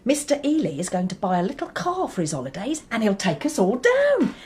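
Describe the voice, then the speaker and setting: High, lively, managing English mother-in-law. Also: fairly quick. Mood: coolly managing. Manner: crisp, composed, managing. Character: brisk, shrewd English mother-in-law, coolly in charge. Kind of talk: radio drama acting. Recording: faint background noise.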